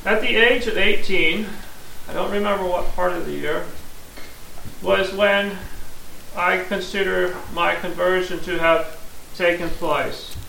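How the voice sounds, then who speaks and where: A man's voice: high-pitched, steady tone, a little slow, gravelly, bright, forceful tone. A man, preaching a sermon in a plain-spoken, earnest way and explaining scripture step by step. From Missouri, USA. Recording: echoey room from a distance, faint hiss.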